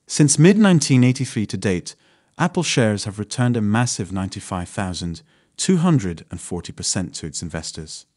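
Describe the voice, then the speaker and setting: A man reads a finance script in a steady, even voice that lifts a little at the start of each new point. His pitch sits on the low side. The recording is close and dry, with no room sound. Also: no echo. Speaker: narrator of a finance channel. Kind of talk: scripted narration.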